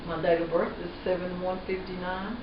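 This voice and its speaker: A woman's voice: fairly low pitch, flat delivery, brisk. A woman in her fifties from North Carolina, a former textile mill worker chatting in an interview and laughing easily. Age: 50s. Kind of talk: casual interview. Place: living room. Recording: dull roomy audio from a far camera with background noise.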